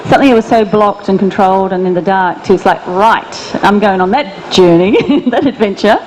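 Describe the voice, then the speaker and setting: A woman's voice: mid-range voice, a little fast, a little mellow, a little hiss or hum, low-quality recording. A woman hosting an interview, reading an introduction and then chatting in an upbeat way. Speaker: interview host.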